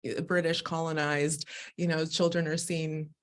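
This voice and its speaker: A woman speaking as a guest on a live video call, telling her own story in an animated, expressive way and often laughing as she talks. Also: low voice, moderate pace. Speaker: guest, Human Design educator. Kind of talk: candid personal conversation.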